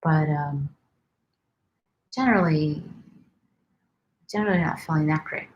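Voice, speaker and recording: A woman chatting casually on a livestream about wellness, speaking softly and rambling with many pauses and ums. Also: low voice, dull audio, slight background noise. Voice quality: calming voice. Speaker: wellness vlogger.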